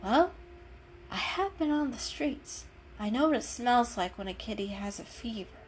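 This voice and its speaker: A woman's voice: on the high side, lively intonation, moderate pace, a little hiss or hum, pitched above the performer's own voice, natural voice, low-quality recording. A woman voicing a determined, caring female cat in a storybook reading, earnest and coaxing. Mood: gentle coaxing.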